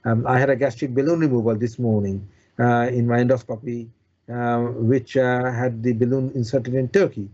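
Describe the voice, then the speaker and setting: A man from Bangladesh speaking as a surgeon being interviewed, explaining in a halting way with repeated words and restarts. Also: medium pitch, steady tone, a little mellow, lo-fi sound. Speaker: consultant bariatric surgeon, interview guest.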